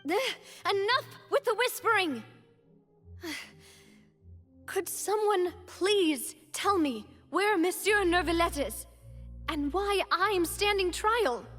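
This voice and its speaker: An actress playing a confident young noblewoman leader, earnest and expressive. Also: extremely high pitch, takes it slowly, pauses often, long reverb tail, faint music behind, exaggerated voice. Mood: exasperated.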